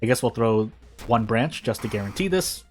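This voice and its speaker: A man's voice: medium pitch, animated, normal speed, soft background music. A man hosting a gaming channel, giving casual, rambling gameplay commentary with self-deprecating asides.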